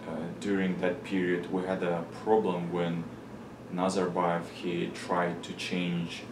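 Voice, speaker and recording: A very deep, gravelly man with a Kazakh accent, talking slowly and pausing to find his words. He sits away from the mic in a roomy space with steady noise behind him. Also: distant mic. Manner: slow, halting, searching for words. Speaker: organization founder, guest. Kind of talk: casual interview talk. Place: location in Manhattan.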